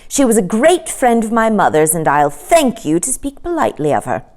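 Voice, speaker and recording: A woman's voice: mid-range voice, wildly swooping pitch, brisk, a little mellow, voice pushed up. A woman voicing a stern, prim, easily offended nanny in a children's storybook, with a clipped, proper manner. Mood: severe.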